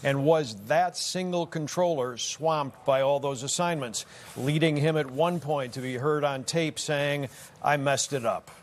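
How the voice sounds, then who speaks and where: An American man giving a scripted investigative news report with a measured, emphatic broadcast delivery. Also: a little high.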